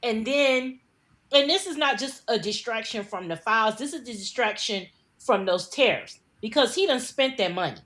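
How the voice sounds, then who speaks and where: A fired-up woman from the United States co-hosts a news podcast in a blunt, profane rant. Her voice sits somewhat high for a woman, and her delivery stays heated. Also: lively intonation, normal speed, a bit bright. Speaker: podcast co-host. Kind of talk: heated casual news commentary.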